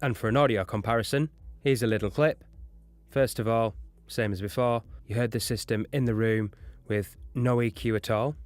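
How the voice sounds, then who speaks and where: A man's voice: medium pitch, fairly even intonation, moderate pace, dry recording, soft background music. A man narrating an explanatory lesson as an audio engineering instructor.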